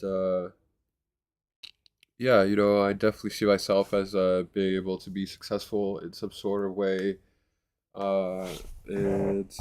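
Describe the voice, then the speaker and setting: A man's voice: sits low, a little mellow, slight background noise. A man with a congested, nasal voice talking casually in a vlog, rambling and hesitant with many fillers and restarts. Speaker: vlogger. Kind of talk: casual self-recorded vlog.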